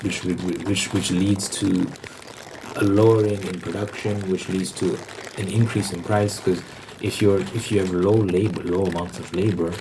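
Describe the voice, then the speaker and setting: Very deep-voiced man rambling in ASMR style. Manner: halting, repeats words and phrases. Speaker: ASMR video host. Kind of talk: ASMR ramble, explanatory monologue. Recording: noticeable background noise.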